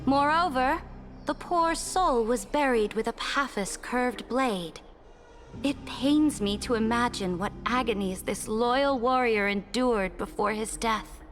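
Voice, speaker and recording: High-voiced imperious female ruler. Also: soft background music. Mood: cold, stern. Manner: formal, haughty, measured oratory. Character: imperious, cold, commanding female ruler addressing her council. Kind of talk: dramatic game voice acting.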